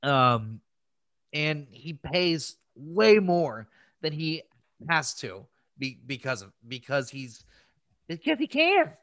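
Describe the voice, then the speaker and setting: A man's voice: on the high side, sing-song, takes it slowly, a bit bright, dry recording, clean studio sound. A man chatting casually on a podcast, telling stories in a rambling, loose way with some swearing. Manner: profane, rambling storytelling. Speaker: podcast host. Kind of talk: casual conversational podcast chat.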